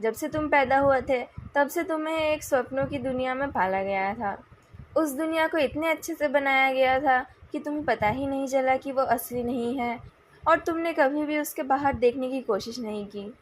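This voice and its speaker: An Indian-accented woman voicing a young male company president in a novel, calm, measured and coolly taunting. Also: sits high, slight background noise, close to an everyday voice. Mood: calm, cutting.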